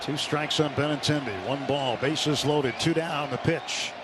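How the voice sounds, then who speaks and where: A man's voice: fairly high pitch, steady tone, a little slow. A man with an American accent calling a baseball game live as a radio play-by-play announcer. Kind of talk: live sports commentary. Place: broadcast booth at a baseball stadium. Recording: noisy live radio ballpark broadcast.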